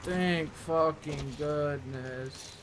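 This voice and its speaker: A man's voice: fairly high pitch, very slow, background noise. A man doing live gaming commentary as a streamer, blunt and slangy, cursing casually and grumbling. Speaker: game streamer.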